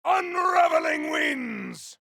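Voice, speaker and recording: A man playing a zealous, boastful, battle-hungry warrior champion in a video game, with a raspy voice and bombastic, archaic delivery. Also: extremely high pitch, animated, takes it slowly, pushed and bright, no room sound, shouting, full character voice. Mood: battle fury. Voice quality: gravelly, raspy. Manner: bombastic, archaic, theatrical declamation. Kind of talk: video game combat barks.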